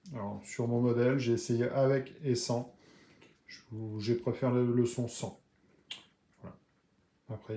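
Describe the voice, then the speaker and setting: A man's voice: mid-range voice, a little mellow. A man presenting a product unboxing, explaining each item step by step. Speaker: motorcycle gear video creator.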